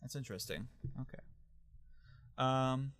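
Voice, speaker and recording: A young man with a Midwestern American accent co-hosting a casual movie podcast, talking in halting bursts full of fillers and restarts. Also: medium pitch, a bit bright, dry recording. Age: young adult. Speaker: podcast co-host.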